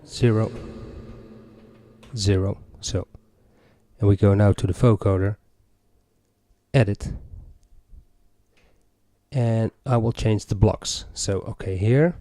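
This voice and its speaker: A man's voice: fairly low pitch, slow pace, pauses often, mellow, no room sound. Man giving a dry, step-by-step tutorial. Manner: methodical, explains step by step. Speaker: music gear tutorial presenter. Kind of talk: step-by-step hands-on demonstration.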